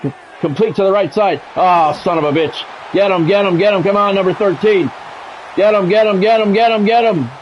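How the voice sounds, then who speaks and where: A man streaming a football video game, giving excitable play-by-play and bluntly talking at the players in short, urgent, repeated phrases. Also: high voice, brisk, highs cut off, slight background noise. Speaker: gaming streamer doing play-by-play.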